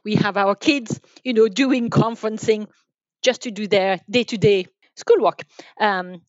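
A woman with a French accent answers interview questions conversationally. Her voice sits at an average pitch, and the sound is dull and very dry, with little of the room in it. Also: measured pace, dry recording. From France. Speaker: podcast guest, telecom sales manager.

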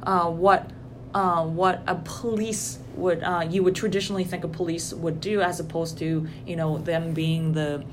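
A woman's voice: on the low side, fairly even intonation, normal speed. A woman from Indonesia in a casual academic conversation, speaking thoughtfully with frequent ums and restarts. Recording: noisy background hiss.

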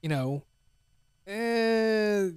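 A man's voice: high-pitched, expressive, drawn-out words, clean tone, no room sound, studio quality. A man hosting a talk podcast who chats casually and reads a sponsor plug in an upbeat way. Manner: brief agreeing replies, upbeat when plugging the sponsor. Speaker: podcast host.

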